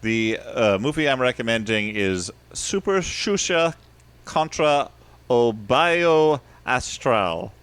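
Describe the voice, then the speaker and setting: A man's voice: mid-range voice, lively intonation, takes it slowly, a bit bright, clean tone. A man co-hosting a comedy podcast in casual chat, rambling and self-deprecating, often laughing at himself. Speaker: podcast co-host.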